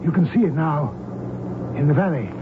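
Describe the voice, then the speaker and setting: Man acting a smug, menacing high-voiced hijacker reverend. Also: expressive, moderate pace, close to an everyday voice. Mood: brisk, commanding. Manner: formal, commanding, coolly threatening. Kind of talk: old-time radio drama acting. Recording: tinny, noisy old radio broadcast recording.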